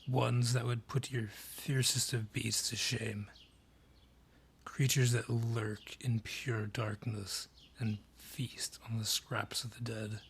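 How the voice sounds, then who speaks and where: A man voicing an ancient, gruff dryad forest guardian in a fantasy audio drama, husky, brusque and ominous in delivery. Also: medium pitch, full character voice. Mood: grim, dry. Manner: deliberate, brusque, ominous storyteller. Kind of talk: fantasy audio roleplay acting.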